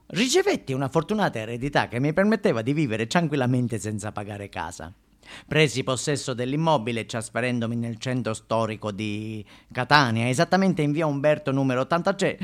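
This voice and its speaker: A man's voice: mid-range voice, fairly bright tone, natural voice. Guy acting as sicilian man telling his story on stage, florid, wry, kinda indignant, very theatrical. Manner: florid, dramatic, acts out noises and dialogue.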